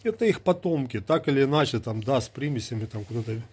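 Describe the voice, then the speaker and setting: Man, forties, from Ukraine, husky voice, mid pitch, argumentative, sarcastic, phone selfie video. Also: animated, fast talker, a little mellow. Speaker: vlogger. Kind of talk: casual talk to camera. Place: small indoor room with gear. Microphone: earbud mic, phone at arm's length.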